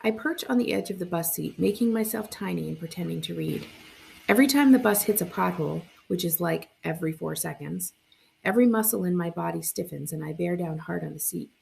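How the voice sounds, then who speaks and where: A woman reading a first-person story aloud as a librarian would, with expressive narration. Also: fairly low pitch, brisk, creaky, slight background noise, rough recording.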